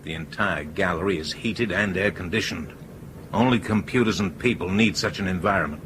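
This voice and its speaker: An actor in a radio drama playing a cool, persuasive ringleader who speaks in an assertive, matter-of-fact way. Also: male voice, low voice, brisk, noisy background, close to an everyday voice. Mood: determined. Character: cool, persuasive male ringleader plotting a takeover.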